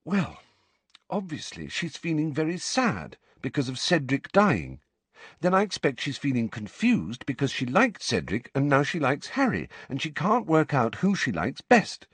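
A man voicing a bossy, know-it-all teenage schoolgirl in a storybook, brisk, precise and lecturing. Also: on the high side, wildly swooping pitch, fairly bright tone, breathy edge, dry recording, pitched above the performer's own voice, full character voice. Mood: patient explaining.

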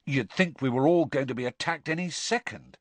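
A man voicing a teenage schoolboy in an audiobook, quietly stubborn, wry and understated. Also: mid-range voice, a little fast, dry recording, pitched above the performer's own voice, lightly acted. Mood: grumbling.

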